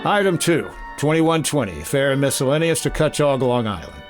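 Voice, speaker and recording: A man's voice: mid-range voice, very animated, brisk, over loud music, natural voice. A man playing a wry, businesslike insurance investigator in the clipped style of 1950s radio detective drama. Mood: crisp narration.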